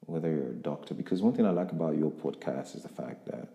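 A man's voice: very deep voice, lively intonation, fast talker, vocal fry, mellow. A man interviewing a guest on a podcast, speaking reflectively and motivationally, with frequent restarts. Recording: echoey room with long reverb.

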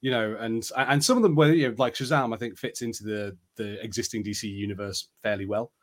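A man's voice: lowish voice, steady tone, fairly quick. A man co-hosting a podcast, giving his opinions in a casual, conversational and tentative way. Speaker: podcast co-host. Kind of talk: casual chat.

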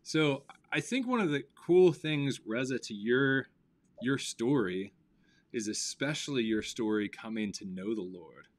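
A man hosting a weekly live-stream interview, talking casually with many pauses and fillers. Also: mid-range voice. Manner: relaxed, hesitant with many fillers. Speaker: host of a weekly live show.

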